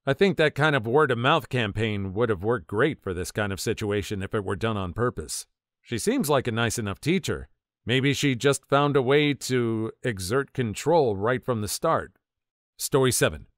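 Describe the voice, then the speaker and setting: Male narrator for story readings, mid pitch, very lively swings, dry close voice. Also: moderate pace, no room sound. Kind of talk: scripted narration of online stories.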